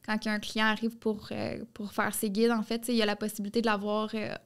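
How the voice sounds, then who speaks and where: A woman's voice: medium pitch, monotone, quick pace. A young woman with a Québécois French accent, chatting as a podcast guest in run-on bursts full of "tu sais". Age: young adult. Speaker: podcast guest, marketing entrepreneur. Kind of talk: casual interview chat.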